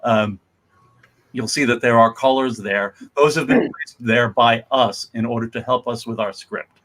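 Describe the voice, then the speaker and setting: Man, casual presenter, halting and rambling, unscripted, mid-pitched voice. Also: steady tone, fairly bright tone. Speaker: presenter of a comics reading.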